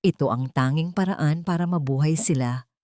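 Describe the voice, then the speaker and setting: A woman's voice: low-pitched, moderate pace, warm tone, no echo, close to an everyday voice. Audiobook drama villainess, played by a female reader: blackmailing doctor, commanding and menacing, dry sound. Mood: desperate, coercive. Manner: threatening, commanding.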